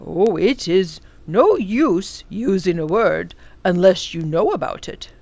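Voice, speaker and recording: A woman's voice: lowish voice, expressive, slow pace, vocal fry, a little mellow, no room sound, full character voice. A woman voicing a kindly, learned elderly male professor in a children's storybook, exclaiming and savouring long words. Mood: instructive. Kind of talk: children's storybook character reading.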